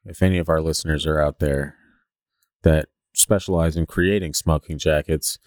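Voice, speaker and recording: A man's voice: extremely low pitch, steady tone, normal speed, a little mellow, dry recording, studio quality. A man co-hosting a podcast, talking casually in a slangy, joking way. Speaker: podcast co-host. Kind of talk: casual banter.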